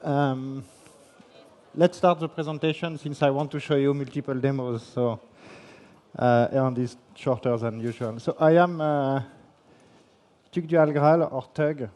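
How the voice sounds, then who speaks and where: A man from France with a French accent giving a technical conference presentation, halting often with fillers and restarts. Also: mid-range voice, fairly even intonation, darkish tone. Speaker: conference speaker. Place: conference hall.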